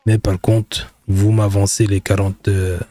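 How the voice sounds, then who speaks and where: Man playing a cautious haggling buyer: low, mellow, unhurried bargainer, dry close mic. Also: flat delivery, no echo. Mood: wary haggling. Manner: deliberate, bargaining, casual street French. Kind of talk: roleplay in character.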